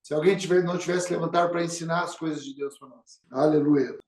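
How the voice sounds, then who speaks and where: A man's voice: a little high, steady tone, fast talker, a little mellow, slight background noise. A man preaching a sermon, full of rhetorical questions and calls of amen. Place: church hall. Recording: echoey church hall.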